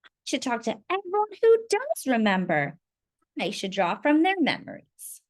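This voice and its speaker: A woman's voice: sits high, wildly swooping pitch, moderate pace. A woman voicing a young girl in a storybook read-aloud, earnest and full of wonder. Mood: eager. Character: young girl eager to remember her birthplace.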